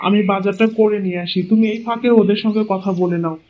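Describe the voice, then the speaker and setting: A man's voice: high-pitched, steady tone, quick pace, natural voice. A man voicing a doting, easygoing village husband in a cartoon, affectionate and teasing. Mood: eager, agreeable. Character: doting, easygoing village husband, indulgent toward his wife. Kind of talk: cartoon moral-story voice acting. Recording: dull lo-fi sound with clear noise.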